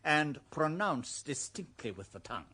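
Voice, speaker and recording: A pedantic English schoolmaster in a radio drama. His voice is high for a man and swoops theatrically. He speaks with pompous precision and withering sarcasm. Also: big pitch swings, normal speed, sharp tone, heavily acted. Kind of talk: radio drama classroom dialogue.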